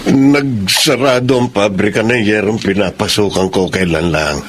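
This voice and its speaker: An actor playing an errant, jobless husband with a Filipino accent in a Tagalog radio drama. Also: male voice, on the low side, moderate pace, natural voice. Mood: downcast. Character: jobless errant husband returning to his family. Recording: noisy radio recording.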